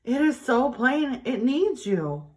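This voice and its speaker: A woman voicing a kindly, courteous hermit crab in a children's storybook, with gentle exclaiming politeness. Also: sits high, sing-song, slow pace, pitched above the performer's own voice, heavily acted. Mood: admiring, asking. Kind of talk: storybook character reading.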